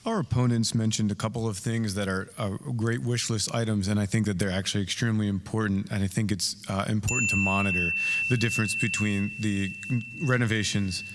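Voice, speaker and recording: A man running for school board, responding to opponents in a measured way. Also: on the low side, fairly even intonation. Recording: reverberant hall with loud noise.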